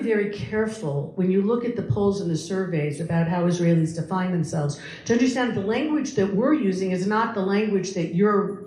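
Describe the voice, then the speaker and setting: Female guest lecturer, middle-aged or older, medium pitch, conversational yet emphatic and opinionated, in a reverberant room. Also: mellow. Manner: opinionated, explanatory, emphatic repetition. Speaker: guest speaker. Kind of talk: public lecture on current affairs, conversational. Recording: echoey room with faint background noise.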